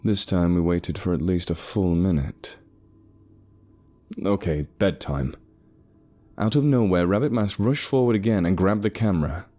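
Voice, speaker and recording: A man reading a first-person horror story as a scripted narration, in a measured, suspenseful manner. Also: sits low, mellow. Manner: measured, suspenseful, short pauses. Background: eerie background music.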